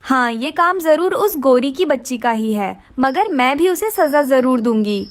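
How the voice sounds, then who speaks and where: A mother bird from a children's cartoon, acted by a woman, with a high voice and a dry, close sound. She is earnest and motherly, caring but firm. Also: animated, a little slow, dry recording. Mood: firm, annoyed. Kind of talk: Hindi children's cartoon voice acting.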